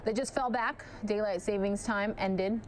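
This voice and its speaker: Chatty woman anchor, voice going hoarse. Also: mid-range voice, fairly even intonation, a little slow, a little hiss or hum. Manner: chatty, addresses viewers directly. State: losing her voice. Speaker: news anchor. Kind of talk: live chase commentary.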